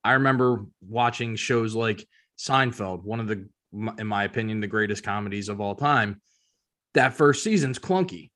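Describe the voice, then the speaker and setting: A man's voice: lowish voice, normal speed, fairly bright tone. A man co-hosting a podcast, talking casually and offering his opinion. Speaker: podcast co-host.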